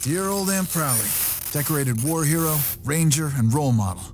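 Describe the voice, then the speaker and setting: A man's voice: fairly high pitch, lively intonation, normal speed, lightly acted. An actor playing a wry, blunt young male ranger hero in a video game, narrating and swearing freely.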